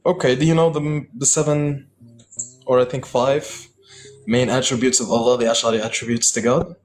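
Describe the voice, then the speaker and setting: A man's voice: medium pitch, flat delivery, normal speed, a little mellow, soft background music. A man in a live debate, pressing with probing, insistent questions. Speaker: debate participant.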